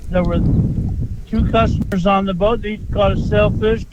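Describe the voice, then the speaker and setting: A man's voice: high-pitched, fairly even intonation, moderate pace. A man phoning in a fishing report from a marina, telling it in a dry, matter-of-fact way. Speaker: charter fishing caller. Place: outdoors by a marina. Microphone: phone. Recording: dull phone-like line with noise.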